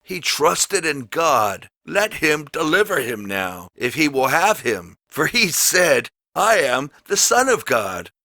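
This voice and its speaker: Man acting loud, jeering, scornful mocker. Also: a little high, big pitch swings, dry recording, voice pushed up, exaggerated voice. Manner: jeering, loud, drawn-out taunting. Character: scornful mocking men of priests and crowd.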